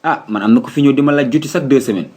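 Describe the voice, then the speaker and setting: An actor playing a respectful young street coffee seller in a comedy cartoon, with a Senegalese accent. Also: male voice, mid-range voice, racing, dark tone, a little hiss or hum, close to an everyday voice. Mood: respectful, puzzled.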